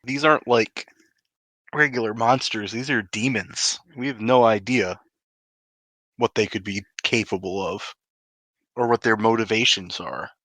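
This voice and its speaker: Male tabletop player, bright forceful tone, very dry recording, casual and practical. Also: lowish voice, measured pace, pauses often, no echo. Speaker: player in a tabletop role-playing game. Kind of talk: casual improvised game chat.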